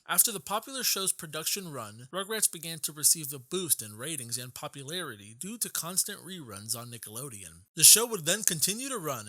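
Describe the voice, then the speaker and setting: Casting: male video essay narrator, scripted delivery, very bright and forceful, lively pitch swings, dry close sound. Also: dry recording.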